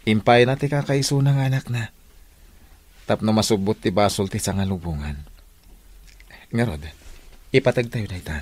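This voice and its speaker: A man's voice: fairly low pitch, normal speed, long pauses, a little mellow, close to an everyday voice. A man with an Ilocano accent playing a devout, grateful husband and father in a radio drama, speaking earnestly.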